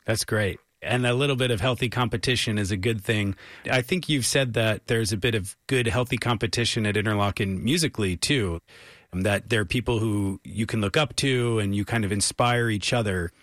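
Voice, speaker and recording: A man's voice: fairly low pitch, normal speed, fairly bright tone, no room sound, studio quality. A man with an American accent hosting a public radio music interview in an effusive, encouraging manner. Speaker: radio show host and interviewer.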